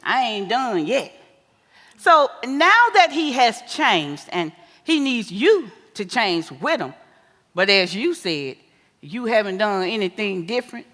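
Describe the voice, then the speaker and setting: A woman acting a sassy, scolding, wise older American mother in a stage play, with an animated, folksy, preachy delivery. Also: mid-range voice, takes it slowly, full character voice. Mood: earnest, lecturing. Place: live stage in a theater. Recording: echoey theater hall with long reverb.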